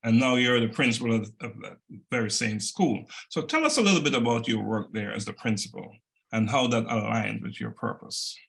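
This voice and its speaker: Gravelly-voiced male interviewer. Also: mid-range voice, lively intonation, fairly quick, fairly bright tone. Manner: polite, summarizing and prompting. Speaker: interview host. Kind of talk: interview questions.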